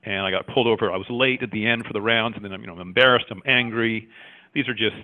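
A man's voice: lowish voice, fast. A middle-aged male cardiologist from Jamaica, raised in Canada, answering interview questions thoughtfully, with many fillers and restarts. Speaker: cardiologist guest. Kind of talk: interview conversation. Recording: tinny phone-like line.

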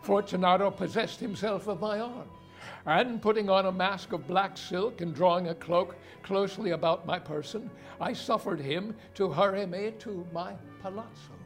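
An actor playing a vengeful Italian nobleman in a gothic tale, measured, formal and insinuating, with feigned courtesy. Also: sits high, soft background music. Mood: sinister, measured. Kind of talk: dramatic reading of a gothic tale.

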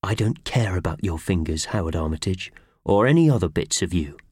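A man's voice: sits low, lively intonation, fairly quick, a little mellow, breathy edge, no echo. A man voicing an irate, domineering male office boss in a children's story, barking and squawking his orders. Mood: menacing.

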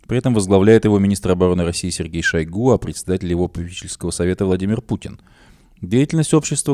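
A man reading a news report on a radio program, running through quotes in the same steady reading voice. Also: sits low, no room sound. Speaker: news presenter.

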